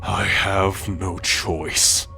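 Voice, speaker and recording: A man's voice: low voice, flat delivery, extremely slow, creaky, sharp tone, full character voice. Guy doing a proud sulky god son, haughty and grudging, sighs a lot, music behind. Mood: bitter resignation. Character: proud, scornful immortal son, resentfully submitting. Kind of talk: scripted ASMR audio roleplay.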